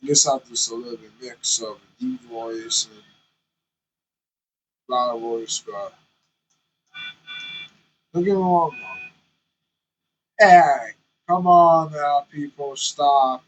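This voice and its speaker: A man's voice: medium pitch, slow pace, pauses often, low-quality recording. A man vlogging candidly from the cab of his semi truck, rambling and self-conscious as he chats to viewers. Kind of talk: casual chat while driving.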